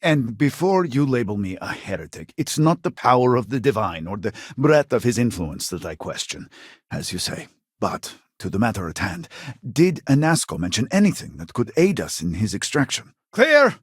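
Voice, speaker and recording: An actor playing an earnest, exasperated scientist from a devout society in a sci-fi video game, speaking formally with a husky voice. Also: male voice, mid-range voice, very animated, no room sound, studio quality. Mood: alarmed shouting. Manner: formal, measured, articulate. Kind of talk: video game character dialogue.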